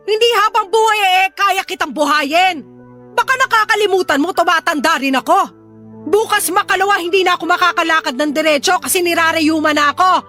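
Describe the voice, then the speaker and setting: A nagging, hot-tempered Filipino mother in an audio melodrama. Her voice is extremely high and piercingly bright. She scolds and threatens in exclamations, over a light bed of music.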